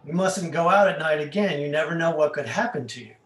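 A man reads the part of a nagging husband in a stage play, his voice on the high side. He chides and questions pointedly, with quiet menace under the words. The room rings noticeably around him. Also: fast, natural voice. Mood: veiled menace. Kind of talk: play reading. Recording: echoey room.

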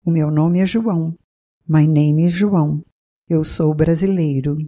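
A woman from Brazil leads a beginner language lesson, saying each phrase in two languages with slow, careful enunciation. Her voice sits low and mellow, and her teacherly delivery stays clear and even. Nothing in her reading is rushed. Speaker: language teacher and podcast host. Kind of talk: scripted bilingual language lesson.

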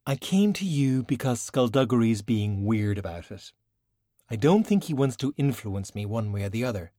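A man's voice: medium pitch, very animated, moderate pace, warm tone, dry recording, studio quality, natural voice. A man voicing a stubborn, idealistic young woman sorcerer who protests earnestly in an audiobook.

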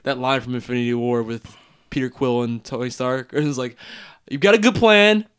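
A man's voice: medium pitch, normal speed, clean tone. A young man co-hosting a casual chat show, joking, laughing a lot and acting out quotes. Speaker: co-host.

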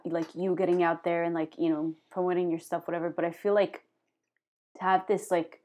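A woman's voice: on the low side, darkish tone. Young woman rambling with filler words. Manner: rambling, heavy "like" fillers, hesitant. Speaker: podcast host. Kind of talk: casual interview chat.

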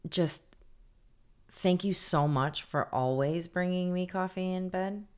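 A woman with a notably low voice for a woman chats on a podcast. She is playful and sarcastic and often talks in fragments and half-finished thoughts. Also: slow, smooth. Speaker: podcast co-host. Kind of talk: casual chat.

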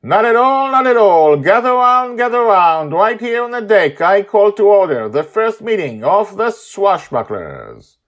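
A man voicing an excitable, determined father who proclaims like a town crier in a storybook tale. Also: sits high, voice pushed up, heavily acted. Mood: proclaiming. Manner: loud, proclaiming, drawn-out calls.

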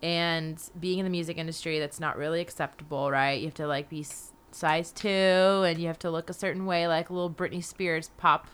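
A young woman singer-songwriter guesting on a podcast. Her voice sits a bit low, and she talks in a bubbly, candid way, filling her sentences with "like". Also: fairly even intonation, a little fast, hardly any pauses, a bit bright, clean tone. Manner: bubbly, candid, uses "like" a lot. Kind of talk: casual chat.

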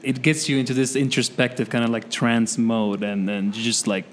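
A man, a musician guest, speaking in a mellow, thoughtful way with many hesitations. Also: mid-range voice, steady tone, moderate pace, slight background noise. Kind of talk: casual interview chat.

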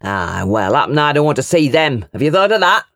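Woman putting on angry bully farm uncle from an audiobook, booming, sneery, swoops about. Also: big pitch swings, a little fast, dry recording, clean studio sound, exaggerated voice. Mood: sneering, angry. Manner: coarse, shouting and sneering.

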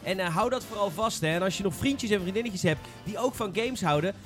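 A man's voice: sits high, lively intonation, a little fast, a bit bright, faint music behind. A man hosting a casual gaming podcast in an informal, enthusiastic manner. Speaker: podcast host.

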